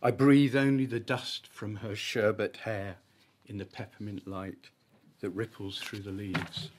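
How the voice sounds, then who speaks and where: A man, an author reading his own short story at a live event, with deliberate, emphatic phrasing and comic timing. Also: fairly low pitch, steady tone. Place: live literary event at an arts venue.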